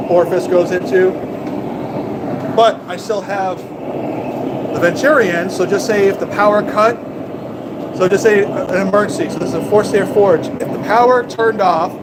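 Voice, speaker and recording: A man with a fairly high voice rambles through casual shop talk, cracking jokes for his live audience. He is in a small garage workshop, and a forge blower roars loudly and steadily behind him. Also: very noisy. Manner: rambling, chatty, jokey. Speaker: blacksmith streamer.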